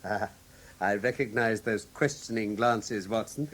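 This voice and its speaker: An English actor playing a brilliant, self-assured detective in a classic radio drama, with precise, teasing, lecturing diction. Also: male voice, fairly low pitch, unhurried, a bit bright, a little hiss or hum.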